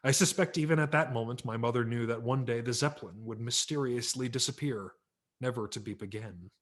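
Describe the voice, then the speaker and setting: A man's voice: mid-range voice, a little fast. A man narrating a story dramatically as an audiobook reader, with wry, theatrical emphasis.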